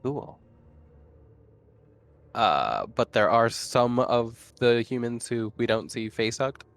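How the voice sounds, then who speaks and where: A man's voice: mid-range voice, lively intonation, slow pace, fairly bright tone. A man playing a tabletop game, chatting casually and thinking aloud as he plans.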